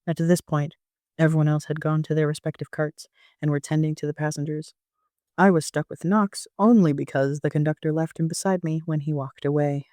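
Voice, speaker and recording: Even-toned man narrating, smooth and clear. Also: on the high side, fairly quick, dry recording, clean studio sound. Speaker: amateur narrator and author. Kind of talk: first-person audiobook narration.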